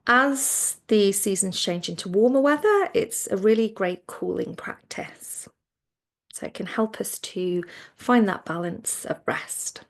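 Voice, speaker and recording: Slow-talking, creaky-voiced woman guiding relaxation. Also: mid-range voice, lively intonation. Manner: soothing, gentle instruction with soft trailing phrase endings. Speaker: yoga nidra relaxation teacher. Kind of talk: guided relaxation meditation.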